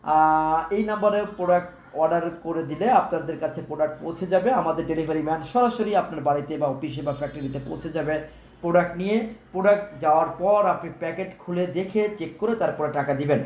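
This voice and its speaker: A man with a Bangladeshi accent delivers an off-the-cuff live-stream sales pitch. His voice sits a little high, and he speaks politely, repeating his instructions to buyers. The sound is clearly echoey, with faint noise behind him. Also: fast talker, darkish tone, dull audio. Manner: repetitive, instructional, formally polite. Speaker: live-stream product seller.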